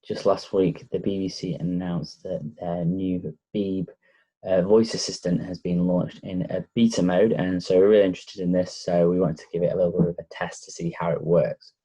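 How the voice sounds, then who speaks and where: A man with a Lancashire accent gives a relaxed, casual tech walkthrough, with frequent ums and pauses. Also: low voice, husky, low-quality recording. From Blackpool, North West England. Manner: relaxed, hesitant with many fillers. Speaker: tech reviewer. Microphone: laptop microphone.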